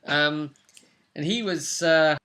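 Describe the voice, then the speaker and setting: A man's voice: fairly high pitch, slow, bright, forceful tone, slight background noise. A man in his twenties casually recapping a tabletop role-play session as game master, recorded on a webcam in a room at home. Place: small domestic room indoors.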